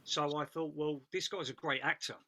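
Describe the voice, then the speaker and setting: A man's voice: a little high, steady tone, normal speed, sharp tone. A man giving casual feedback as a script panellist, speaking emphatically and repeating phrases for stress.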